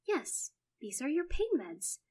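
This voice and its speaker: A woman's voice: sits high, big pitch swings, slow pace, darkish tone, pitched above the performer's own voice, full character voice. A woman voicing a stern, cold mother who speaks through gritted teeth.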